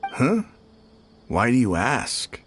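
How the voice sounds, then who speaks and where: Casting: defensive, shrugging game clerk, medium pitch, highly animated, faint ambient noise behind. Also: male voice, slow pace. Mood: puzzled, offhand. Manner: offhand, defensive, chatty. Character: casual, defensive male clerk shrugging off suspicion. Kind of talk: video game voice acting.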